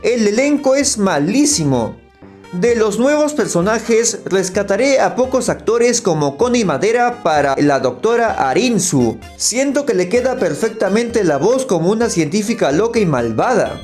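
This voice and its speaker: Male video critic, high voice, scripted opinionated review over faint music. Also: big pitch swings, normal speed, darkish tone.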